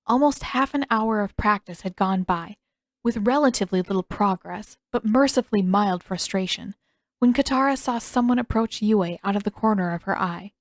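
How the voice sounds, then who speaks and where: A woman narrates a piece of written fiction as a storyteller. Her voice is medium in pitch, and the recording is dry with no room sound. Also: normal speed, no room sound. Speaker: podfic narrator and author.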